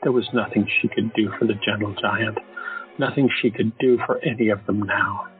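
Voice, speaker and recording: A man narrating an audio drama in a steady, measured storytelling style. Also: on the low side. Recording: tinny phone-like audio, faint music.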